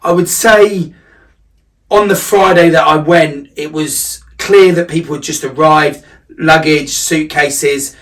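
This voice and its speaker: A man's voice: fairly high pitch, steady tone, slow pace, fairly bright tone, far from the mic. A man giving a casual vlog recap as a running coach, talking in a reflective, emphatic and conversational way.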